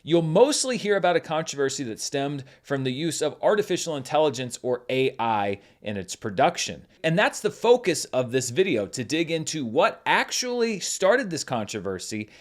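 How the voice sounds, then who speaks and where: A man's voice: fairly high pitch, sing-song, normal speed, hardly any pauses. A man hosting his own channel as a film critic, explaining a news story in scripted commentary.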